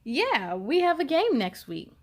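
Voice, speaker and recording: A woman's voice: high-pitched, wildly swooping pitch, measured pace, exaggerated voice. A woman voicing an earnest, determined young boy with plain-spoken delivery in a children's storybook. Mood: firm, earnest. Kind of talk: children's storybook read-aloud.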